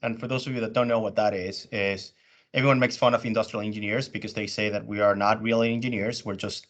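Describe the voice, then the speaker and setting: A man from Venezuela, an engineer in business development, talking casually on a panel and often pausing with ums. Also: lowish voice, level tone, a bit bright, dull audio. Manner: hesitant, many ums and restarts.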